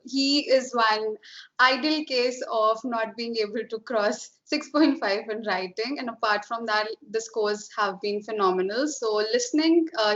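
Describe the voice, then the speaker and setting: A woman's voice: mid-range voice, fairly bright tone, lo-fi sound. A woman with an Indian accent hosting an interview, explaining points emphatically to viewers. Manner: explanatory, emphatic, mixes in Hindi phrases. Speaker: IELTS coaching host. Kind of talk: interviewing a student, advising viewers.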